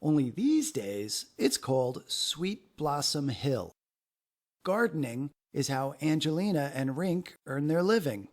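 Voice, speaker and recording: Animated man reading a children's storybook. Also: a little high, takes it slowly. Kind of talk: expressive children's storybook narration.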